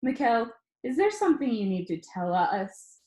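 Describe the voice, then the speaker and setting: A woman's voice: fairly high pitch, very animated, moderate pace, dark tone. A woman voicing a smug, teasing schoolboy bully in a storybook reading, with a mocking manner. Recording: roomy, echoing room sound.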